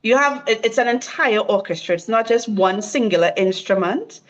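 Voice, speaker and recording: A woman's voice: fairly high pitch, normal speed. Trinidadian woman on a lo-fi call. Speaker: guest. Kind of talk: casual conversation.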